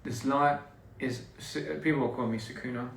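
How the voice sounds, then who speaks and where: A man's voice: medium pitch, steady tone, normal speed, far from the mic, a little hiss or hum. A young man, chatting loosely with his viewers on a live stream and reading their comments aloud. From Australia. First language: English. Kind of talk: casual live stream chat. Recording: echoey room, a bit off-mic.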